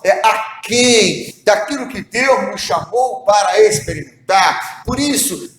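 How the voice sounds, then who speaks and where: A high-voiced man preaches slowly and deliberately, leaning on earnest rhetorical questions to his listeners. His voice rings out in an echoey church room. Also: a bit bright. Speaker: pastor. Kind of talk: devotional sermon. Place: church hall.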